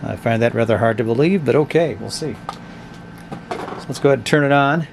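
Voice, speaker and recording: A man talks through a review of a cheap radio in an offhand, blunt way, thinking aloud as he goes. His voice sits in a middle pitch. A faint hiss runs under it. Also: fairly quick, gravelly. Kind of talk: casual product review. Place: indoors at a tabletop.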